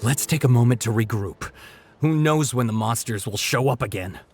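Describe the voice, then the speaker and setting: A man's voice: mid-range voice, moderate pace, a little mellow, breathy edge, soft background music. An actor playing a cautious young male warrior under pressure in a video game, urgent and wary.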